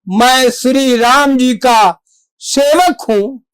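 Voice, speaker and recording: A high-pitched stage actor plays a devoted, reassuring monkey-god messenger in a theatrical monologue. The delivery is extremely slow and declamatory, with formal diction and measured pauses. The sound is dry and close. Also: clear, resonant tone, dry recording.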